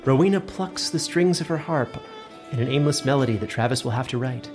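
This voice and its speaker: A man's voice: medium pitch, a little fast, music in the background. A man giving scripted narration for a fantasy story.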